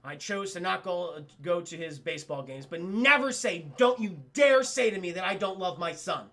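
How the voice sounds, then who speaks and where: A man's voice: high-pitched, moderate pace, bright, forceful tone. A man voicing a proud, defensive businessman father in a cartoon recap, speechifying emphatically with stammers. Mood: defensive, impassioned.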